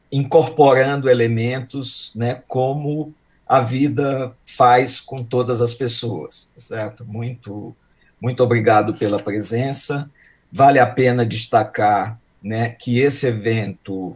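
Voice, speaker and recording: An older Brazilian man with a blended Maranhão and São Paulo accent speaks at a mid pitch. He gives semi-formal, unscripted remarks as a professor, halting and reflective, often checking for agreement. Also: darkish tone. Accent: mixed Maranhão and São Paulo Brazilian. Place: indoors, online video call.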